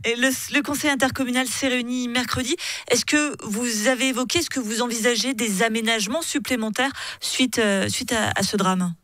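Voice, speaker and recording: A woman's voice: fairly high pitch, fairly even intonation, brisk, a bit bright, dry recording. A woman, hosting a radio interview and asking direct, composed questions. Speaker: radio host.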